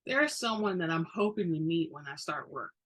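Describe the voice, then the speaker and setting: A woman voicing an excitable, earnest young man, a sweet great-grandson, in a story reading. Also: lowish voice, normal speed. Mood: shy, wistful.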